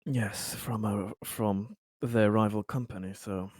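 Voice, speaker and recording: A man's voice: fairly low pitch, fairly even intonation, slow pace, darkish tone, no echo, studio quality. A man talking casually as a player in a tabletop roleplaying game.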